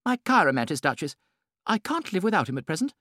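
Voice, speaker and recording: A vivacious society hostess of forty, voiced by a man in a high, bright, theatrical tone. She swoops through a lively, animated melody. The sound is dry and close, like an audiobook. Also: fast talker, dry recording, exaggerated voice. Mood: gushing. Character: vivacious society hostess, middle-aged woman.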